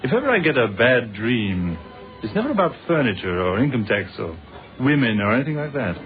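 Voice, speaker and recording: A man's voice: mid-range voice, sing-song, a little fast, faint music behind, close to an everyday voice. An actor playing an affable middle-aged ex-policeman in a British radio drama, easygoing and conversational as he reminisces. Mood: relaxed, jovial. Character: affable ex-policeman turned businessman, old friend. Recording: muffled, narrow-band old radio recording.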